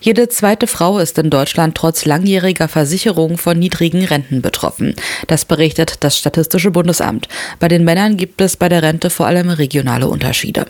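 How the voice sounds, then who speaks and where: A woman reading radio news, sport and weather in a crisp, formal way. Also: lowish voice, brisk, darkish tone. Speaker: newsreader.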